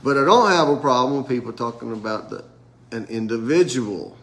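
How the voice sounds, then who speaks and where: A middle-aged American pastor leading a casual Bible study around a table, nasal and congested from a sinus infection, talking in a halting way with many restarts. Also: male voice, mid-range voice, animated, fairly bright tone. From United States. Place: room with a group at a table.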